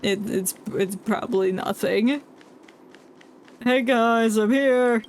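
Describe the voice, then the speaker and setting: A woman's voice: on the high side, takes it slowly, darkish tone, a little hiss or hum. A woman livestreaming a video game, chatting casually and irreverently and reading dialogue aloud in a joking way. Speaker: game streamer. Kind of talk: casual livestream play-along commentary. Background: game music.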